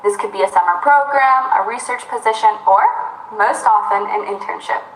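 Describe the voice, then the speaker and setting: A woman's voice: medium pitch, normal speed, a little hiss or hum. A young woman presenting a scripted explainer, upbeat and speaking directly to the viewer. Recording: roomy echo.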